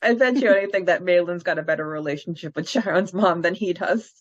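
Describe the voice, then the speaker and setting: A woman's voice: on the low side, fast talker. A woman co-hosting a casual fan podcast who chats in an easygoing way and says "like" a lot. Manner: chatty, uses "like" often. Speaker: podcast co-host. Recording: dull lo-fi mic sound.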